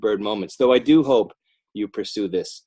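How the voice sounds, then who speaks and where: American man, slightly low voice, wry and self-deprecating, loose conversational interview guest. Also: moderate pace, mellow. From northern New Jersey, United States. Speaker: podcast guest, animator and children's author. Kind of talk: casual joking interview chat.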